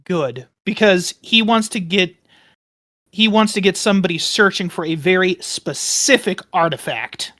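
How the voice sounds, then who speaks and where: A woman playing a tabletop game, talking casually and haltingly as she describes her character and repeats phrases. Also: fairly low pitch, a bit bright. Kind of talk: casual roleplay table talk.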